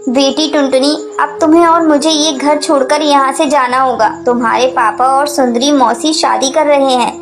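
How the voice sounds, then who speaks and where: A woman's voice: sits high, steady tone, normal speed, few pauses. A woman voicing a kind, trusting mother sparrow in a cartoon, earnest and emotional, with music behind. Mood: sad. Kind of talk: dubbed cartoon acting.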